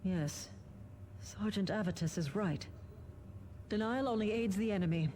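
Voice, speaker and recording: An actress playing a brisk, earnest governor giving formal, urgent military briefings in a video game. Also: female voice, medium pitch, mellow, a little hiss or hum, lightly acted. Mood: resolute.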